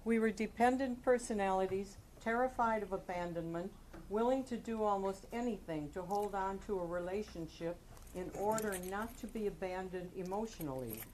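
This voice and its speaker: A woman from the audience of a meeting, reading a passage aloud at a steady, even pace. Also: medium pitch, normal speed, slight background noise, rough recording.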